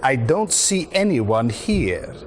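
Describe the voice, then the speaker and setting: An actor playing a cold, authoritative senior military officer in a video game cutscene, speaking in a measured, deliberate way. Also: male voice, medium pitch, sing-song. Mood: coldly menacing. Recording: faint background noise.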